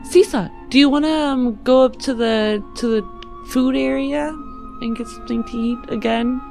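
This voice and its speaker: A woman's voice: high-pitched, moderate pace, vocal fry, darkish tone, faint music behind, pitched above the performer's own voice. A woman voicing a doting, easily wounded genteel mother in a tabletop game, coaxing and theatrical.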